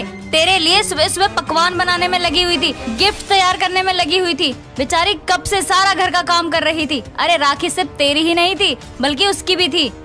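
A woman voicing a quick-tempered, dramatic family woman in a cartoon, with emphatic delivery. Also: very high voice.

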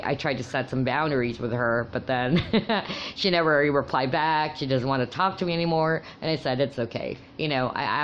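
A woman's voice: sits low, muffled recording. A woman whose first language is Tagalog, casually telling a personal story with frequent laughs and fillers. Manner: chatty, laughing often, many fillers and restarts. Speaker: crystal vlogger.

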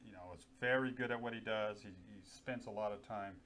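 A man's voice: fairly low pitch, a little fast, a bit bright, a little hiss or hum. A middle-aged man chatting casually about gear, easygoing and enthusiastic, with lots of fillers, on a lapel mic in a small studio. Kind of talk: casual product chat. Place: small indoor studio with guns on wall.

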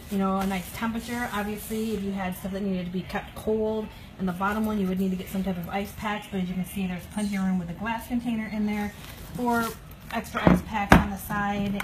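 A woman giving a casual, matter-of-fact product review in her kitchen, talking off camera into her phone with frequent fillers. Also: medium pitch. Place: home kitchen, indoors. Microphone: phone camera held by the speaker, off-screen. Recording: phone video with audible background noise.

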